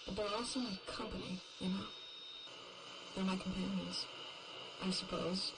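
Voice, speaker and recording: A woman playing a haunted, bitter lone survivor in a horror audio drama, brooding and halting in her delivery. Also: fairly low pitch, creaky, background noise. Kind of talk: first-person horror audio drama monologue.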